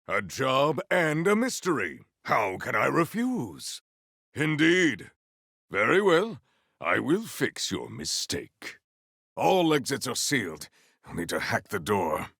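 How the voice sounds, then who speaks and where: An actor playing a proud, formal, honor-bound warrior in a video game, with archaic, theatrical diction. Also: male voice, mid-range voice, sing-song, slow, vocal fry, fairly bright tone, no echo, studio quality, exaggerated voice. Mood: composed, stately.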